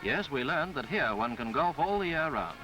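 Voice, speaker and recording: A man reading a scripted 1950s newsreel travelogue in a formal, florid, measured way. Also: a little high, sing-song, low-quality recording. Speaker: newsreel narrator. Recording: 1950s film soundtrack with background music. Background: music.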